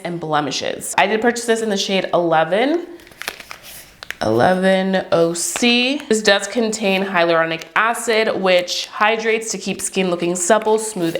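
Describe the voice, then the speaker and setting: A young woman reviewing beauty products to camera in a chatty, upbeat way, her voice stuffy and congested from a cold. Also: medium pitch. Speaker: beauty vlogger.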